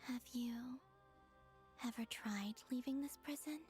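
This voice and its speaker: A woman voicing a soft-spoken, calm and mysterious young maiden in a video game. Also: fairly high pitch, a little slow, close to an everyday voice. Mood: calm, curious. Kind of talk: English video game voice acting. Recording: music under the voice.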